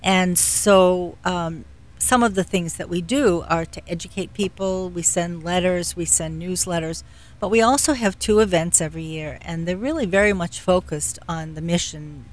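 A middle-aged woman with a fairly low-pitched voice speaks as a radio interview guest. She explains in a measured manner, often hesitating as she looks for the next word. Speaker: guest, philanthropy executive.

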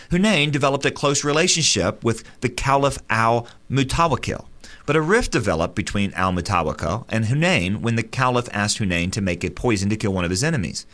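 A man delivering scripted radio commentary in an earnest, steady narrating voice. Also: medium pitch.

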